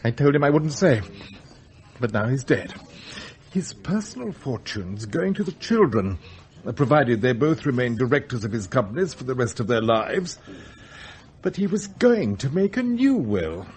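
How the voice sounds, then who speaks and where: An actor playing an aggrieved, anxious younger brother in a radio comedy drama, blunt and exasperated. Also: male voice, mid-range voice, very animated, moderate pace, a little mellow, a little hiss or hum, close to an everyday voice. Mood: reproachful.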